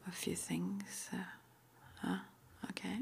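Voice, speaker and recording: A woman playing a calm, gentle witch school interviewer in an ASMR roleplay, whispering softly and reassuringly without hurry. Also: on the low side, creaky, exaggerated voice. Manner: soft, unhurried, reassuring, with murmured acknowledgements.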